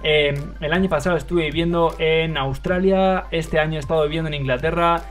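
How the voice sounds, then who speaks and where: A man's voice: on the high side, fairly quick, faint music behind. A young man from Madrid with a Spain Spanish accent, hosting a livestream and commentating a mobile game match with lots of fillers. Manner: excitable play-by-play, many fillers. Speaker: livestream host and caster.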